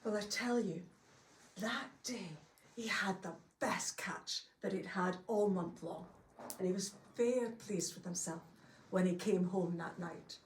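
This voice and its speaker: A woman's voice: medium pitch, measured pace, a little hiss or hum. A middle-aged woman with a Scottish accent telling a folk tale to a live audience in a chatty, homely way, with folksy asides. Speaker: storyteller. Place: longhouse hall with a live audience. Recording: echoey room, distant mic.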